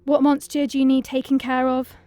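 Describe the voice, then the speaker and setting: An actress voicing a brash, hard-drinking princess who hunts monsters. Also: female voice, sits high, monotone, brisk, mellow, no echo, natural voice. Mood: sardonic. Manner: cocky, crude, sardonic.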